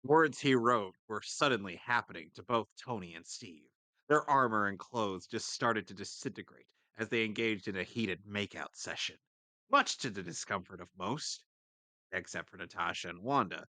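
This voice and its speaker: A man's voice: lowish voice, normal speed, sharp tone, no room sound. A man narrating a comic script in a mock-dramatic way with asides, as a co-reader on a live stream.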